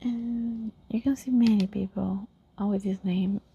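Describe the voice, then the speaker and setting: Give me a woman whispering an unhurried language lesson. Her voice is soft and mellow, and she says each word over and over. A faint hiss sits underneath. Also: medium pitch, fairly even intonation, creaky, muffled recording. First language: Spanish. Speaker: language teacher.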